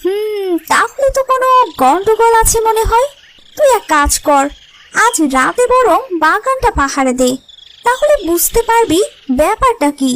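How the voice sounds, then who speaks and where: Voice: very high-pitched, big pitch swings, normal speed, fairly bright tone, slight background noise, full character voice, rough recording. A performer voicing a shrewd goat friend in a cartoon, handing out advice in a knowing way. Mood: knowing, advising. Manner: knowing, advising. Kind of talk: cartoon voice acting.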